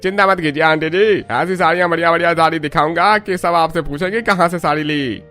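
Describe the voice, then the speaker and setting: A man's voice: on the high side, brisk, pushed and bright, faint music behind. A man voicing a friendly, polite sari seller eager to please customers in a Hindi cartoon story. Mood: eager, flattering. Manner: polite, salesman-like.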